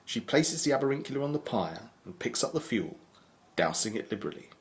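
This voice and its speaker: A man playing the sober narrator of a horror audio drama, measured and matter-of-fact. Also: medium pitch, expressive, natural voice.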